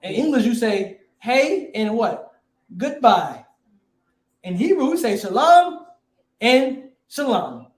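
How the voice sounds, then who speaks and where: High-voiced man teaching in an echoey room. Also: big pitch swings, slow. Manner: quizzing the class, repeats phrases. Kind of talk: classroom teaching.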